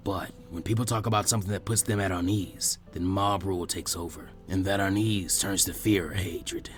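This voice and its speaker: A man voicing a wise, elderly village leader in fan fiction, grave and proud, speaking in measured, solemn phrases. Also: deep voice, fairly even intonation, faint music behind, voice pushed down, close to an everyday voice. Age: older. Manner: measured, solemn, with weighty pauses. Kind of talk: acted dialogue in fan fiction audiobook.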